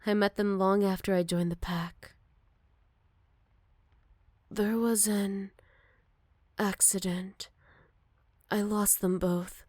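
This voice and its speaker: Motherly she-wolf, played by a woman, older, protective, tender and unhurried. Medium pitch, intimate dry ASMR roleplay delivery. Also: level tone, no echo. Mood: gentle, curious, then sorrowful, tender. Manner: soft, caring, unhurried, slipping into whispers. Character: gentle motherly older female werewolf, protective and wistful. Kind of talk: ASMR roleplay, intimate one-sided dialogue to listener.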